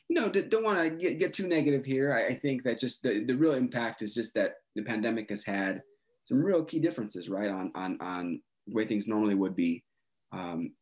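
A man co-hosting a podcast, talking in a measured way and hedging with "you know" and "I think". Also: fairly low pitch, breathy edge, lo-fi sound. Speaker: podcast co-host.

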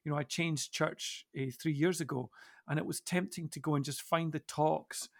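A middle-aged man speaking as a podcast guest in a reflective discussion, thoughtful and halting, with deliberate pauses. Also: fairly high pitch, steady tone, vocal fry, dry recording, studio quality. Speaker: podcast guest and church minister.

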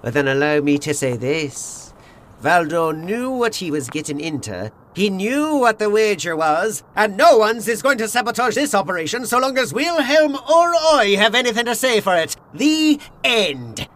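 Skinny crooked bookie, high voice all over the place, sly wisecracking noir radio play villain. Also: male voice, big pitch swings, moderate pace, fairly bright tone. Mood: suspicious. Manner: wisecracking, theatrical, wheedling menace. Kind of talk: comedic noir audio play acting.

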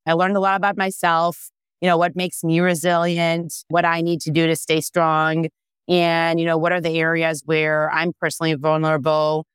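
A woman's voice: deep voice, completely flat delivery, normal speed, clean tone, dry recording. A woman in her thirties, born and raised in Israel, answering interview questions as a startup founder, thoughtful and full of "you know" fillers. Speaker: startup founder and CEO, guest.

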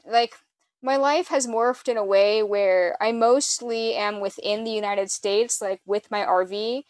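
A woman's voice: mid-range voice, no room sound. A woman hosting a podcast in casual chat with a friend, with a slightly nasal voice and informal speech peppered with "like" and swearing. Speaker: podcast host.

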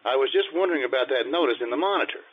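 A man's voice: medium pitch, animated, racing, natural voice. A man playing an earnest, naive, mild-mannered fellow in an old-time radio sitcom, with an eager, guileless delivery. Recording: tinny, noisy radio-style recording.

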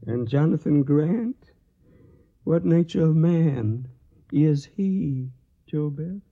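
A husky, ailing old father. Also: fairly high pitch, expressive, full character voice. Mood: stern, probing. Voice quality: husky, gravelly, weakened by illness. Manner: grave, formal, deliberate. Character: ailing, stern Tennessee father in 1861. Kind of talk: radio drama acting. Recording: dull lo-fi radio tape.

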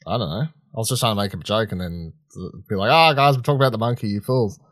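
A man's voice: lowish voice, quick pace, a bit bright, dry recording, studio quality. A man co-hosting a podcast with casual, opinionated banter, informal and slangy in how he talks. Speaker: podcast co-host.

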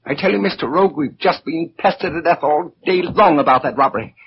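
A man's voice: a little high, brisk, close to an everyday voice. An actor playing a fussy, weary old millionaire grandfather in a 1940s radio drama. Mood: fretful. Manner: fussy, fidgety, plaintive. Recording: muffled, noisy 1940s radio recording.

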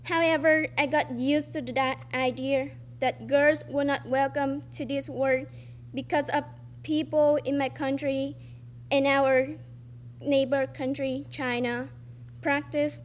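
A teenage girl from Vietnam reading her personal essay aloud on a stage, slowly and carefully, with pauses. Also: high voice, flat delivery. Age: teens. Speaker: student storyteller. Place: performing arts center stage.

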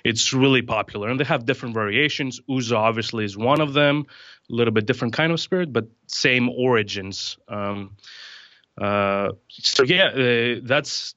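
Greek-born man, bright, filler-heavy talk. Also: medium pitch, no echo, muffled recording. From Greece. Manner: relaxed, many fillers and restarts. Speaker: distiller, podcast guest. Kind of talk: casual podcast conversation.

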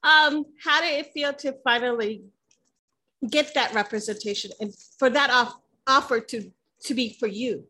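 A woman's voice: a little high, normal speed, sharp tone. A woman in her thirties from the Philippines and the United States, hosting a podcast in a casual, chatty, giggly and effusive way. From born in the Philippines, moved to the United States at seven. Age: 30s. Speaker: podcast host.

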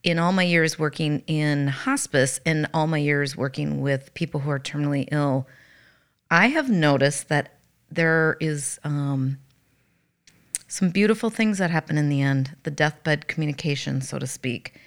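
A middle-aged woman with a slightly raspy voice, talking earnestly and reflectively as a podcast co-host. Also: low voice, unhurried. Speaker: podcast co-host, hospice worker. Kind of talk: conversational podcast talk.

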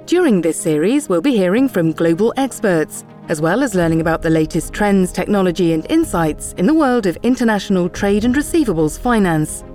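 A woman's voice: medium pitch, animated, brisk, few pauses, dark tone, faint music behind. A woman reading a scripted podcast introduction in a polished announcer manner. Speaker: show announcer.

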